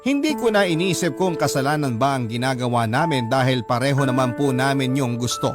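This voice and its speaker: A man's voice: medium pitch, animated, brisk, over music. A man narrating a first-person confessional story as a radio drama host reading out a listener's letter.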